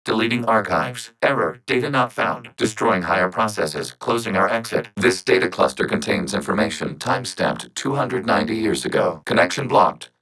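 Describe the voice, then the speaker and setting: A man voicing a calm, logical networked robot intelligence in a video game, speaking in formal, precise, stilted phrases through synthetic processing. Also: low-pitched, moderate pace, gravelly, hardly any pauses, full character voice, low-quality recording. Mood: detached, procedural. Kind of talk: scripted video game character dialogue.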